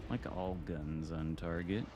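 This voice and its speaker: Relaxed American man, low voice. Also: a little slow, over music. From Michigan. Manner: relaxed, wry, reacting to the game with mild swearing. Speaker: game streamer. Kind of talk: casual live-stream commentary. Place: home room.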